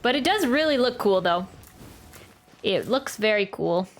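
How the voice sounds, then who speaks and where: A woman's voice: medium pitch, lively intonation, unhurried, slight background noise. A woman giving casual, chatty live commentary as a game streamer, thinking aloud as she plays. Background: video game music.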